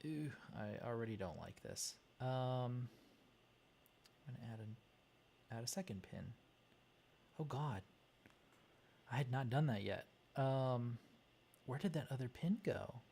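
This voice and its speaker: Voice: medium pitch, lively intonation, measured pace, gravelly, pauses often, warm tone, slight background noise. A livestream host chatting casually and muttering hesitantly while thinking aloud through a task. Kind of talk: casual chat while working on a wiring diagram.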